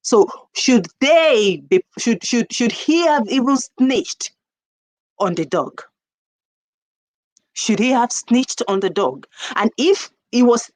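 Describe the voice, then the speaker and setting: Woman, Nigerian accent, mid-pitched voice, folktale host, reflective, deliberate phrasing, repetition for emphasis. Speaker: storyteller host. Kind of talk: reflective folktale talk with moral questions.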